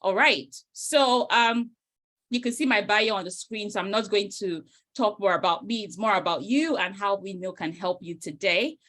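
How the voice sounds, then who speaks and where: Casting note: woman from Nigeria, middle-aged, webinar host, mid pitch, eager, chatty. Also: normal speed. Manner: enthusiastic, warm, uses many "you know" fillers. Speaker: host, career coach. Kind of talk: webinar introduction. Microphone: video call.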